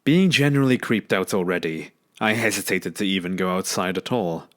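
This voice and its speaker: A man's voice: low voice, expressive, fairly quick. A man reading a first-person scary story as scripted narration.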